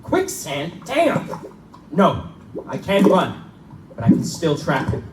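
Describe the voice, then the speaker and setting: A man's voice: on the high side, huge pitch swings, slow pace, heavily acted. An actor playing a desperate but resourceful hunted man, talking tensely to himself in short phrases in a pulp drama. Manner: tense muttered self-narration in short phrases. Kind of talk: live pulp radio-play acting. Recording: echoey live room, distant mic, loud noise.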